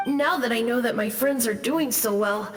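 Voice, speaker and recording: A woman voicing the gentle, apologetic spirit of a boy in a video game, soft and wistful. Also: a little high, normal speed, soft background music, lightly acted. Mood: grateful. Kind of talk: video game character dialogue. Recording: long ghostly reverb, faint noise.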